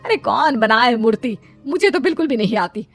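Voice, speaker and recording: A woman's voice: a little high, animated, brisk, soft background music. Fussy older mother-in-law, played by a woman. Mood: reluctant.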